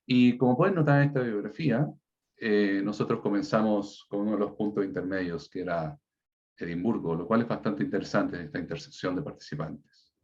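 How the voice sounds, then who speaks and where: A man's voice: on the low side, a little fast, darkish tone. A man moderating an online seminar, formally reading out a speaker's introduction over a video call. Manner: formal, read-out delivery. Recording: dull lo-fi online call audio.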